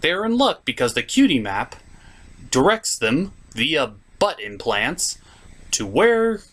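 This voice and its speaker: A man's voice: a little high, very animated, slow, fairly bright tone. A man hosting a comedic cartoon review, giving commentary in a sarcastic, exasperated manner.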